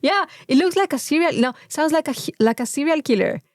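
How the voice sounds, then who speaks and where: A Mexican woman with a native Spanish background, co-hosting a podcast in casual, comedic chat with a playful, sweary, animated storytelling manner. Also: high voice, brisk, no room sound, studio quality. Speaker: podcast co-host.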